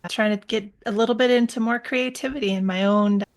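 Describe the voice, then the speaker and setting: A middle-aged woman from the United States, a guest in an interview, explaining things in a steady way with a few restarts. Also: fairly high pitch, fast talker. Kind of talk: casual interview chat.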